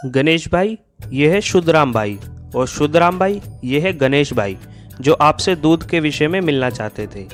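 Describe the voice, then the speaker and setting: A man puts on an innocent neighbour for a cartoon tale. He is a casual, obliging man with a raised, high pitch, over music. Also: expressive, normal speed, lightly acted. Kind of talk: Hindi moral-story cartoon dialogue. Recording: music behind the voice.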